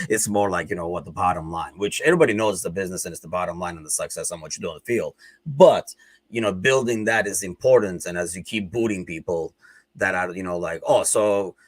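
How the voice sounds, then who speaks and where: A man's voice: low-pitched, no room sound. A man with a Turkish accent, speaking English as a second language, hosting a casual football chat in a rambling, conversational way full of fillers. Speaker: podcast host.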